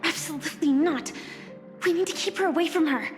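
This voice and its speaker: Video game role: determined young fighter, high voice, sincere, over clear background music. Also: female voice, a little fast. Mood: resolute, urgent. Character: earnest, determined young female warrior with a mission. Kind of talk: scripted video game voice acting.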